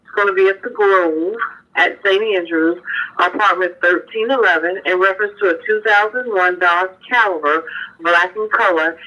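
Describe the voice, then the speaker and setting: A man's voice: sits high, moderate pace, pushed and bright. A man speaking in clipped police radio traffic, heard through a tinny scanner transmission. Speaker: police radio dispatcher or officer. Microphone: two-way radio.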